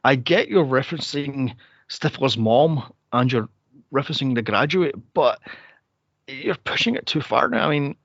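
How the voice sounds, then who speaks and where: A man's voice: animated, normal speed, gravelly, fairly bright tone, no echo. A man co-hosting a film podcast, chatting casually and animatedly and slipping into exaggerated voices when he retells stories. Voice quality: says he has a deep, straight-sounding voice. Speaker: podcast co-host reviewing films. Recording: dry, slightly dull podcast mic.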